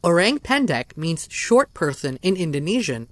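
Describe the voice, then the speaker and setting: A narrator reading a scripted documentary story in a measured, even delivery. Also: mid-range voice, dry recording.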